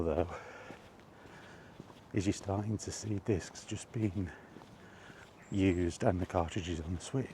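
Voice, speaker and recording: A man giving a casual, halting opinion monologue to a vlog audience, rambling along in short phrases while out walking. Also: deep voice, warm tone, slight background noise. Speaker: video blogger. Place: outdoors, walking.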